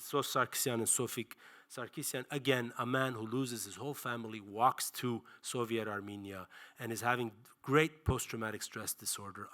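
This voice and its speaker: A man's voice: mid-range voice, bright, forceful tone. A man in his forties giving a passionate public film lecture, speaking emphatically and rhetorically, stressing key words in repeated phrases and lists. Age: 40s. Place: library auditorium, speaking to an audience.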